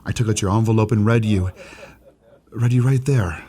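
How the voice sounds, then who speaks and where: A man's voice: fairly low pitch, normal speed, dark tone, close to an everyday voice. A man playing an earnest, lovestruck young shop clerk in a radio play, eager and frank, with hesitant stammers.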